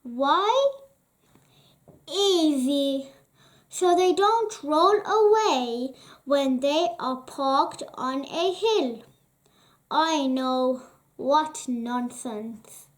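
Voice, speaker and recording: Woman reading a kids storybook, really high voice, super slow n careful w lots of pauses. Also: animated. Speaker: children's storybook reader, channel host. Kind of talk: read-aloud children's story narration.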